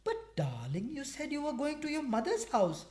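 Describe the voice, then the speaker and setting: A man's voice: extremely high pitch, wildly swooping pitch, moderate pace, a little mellow, heavily acted. Guy acting jealous boyfriend in a radio farce, all dramatic and sarcastic, gets flustered. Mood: bewildered. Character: jealous, theatrical male lover, accusing and easily flustered. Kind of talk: farcical radio play acting.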